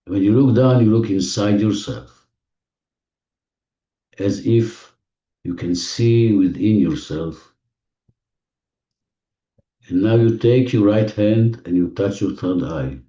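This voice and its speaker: A man leading a guided visualization in non-native English phrasing, giving gentle, repetitive instructions with deliberate pauses, recorded muffled and distant in an echoey room. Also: fairly low pitch. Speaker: guided meditation leader. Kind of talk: guided visualization, spoken slowly to a listener.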